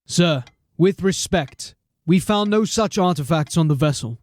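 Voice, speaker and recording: A man's voice: fairly high pitch, animated, measured pace, no echo. An actor playing a tired, dry-witted watch sergeant in an audio drama, commanding and wry in his delivery. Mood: weary. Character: weary, dry-witted watch sergeant, middle-aged man.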